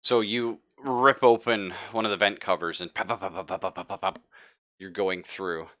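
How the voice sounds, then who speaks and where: A man's voice: low-pitched, fairly even intonation, normal speed, pushed and bright. A man acting as game master, narrating a live tabletop roleplaying scene in suspenseful second person.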